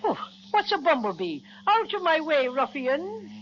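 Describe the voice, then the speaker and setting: A man's voice: extremely high pitch, very animated, measured pace, fairly bright tone, slight background noise, heavily acted. A man acting a small, blustery male cinnamon teddy bear in an old-fashioned children's radio serial. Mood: defiant. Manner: blustery, easily affronted. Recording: dull lo-fi old radio recording.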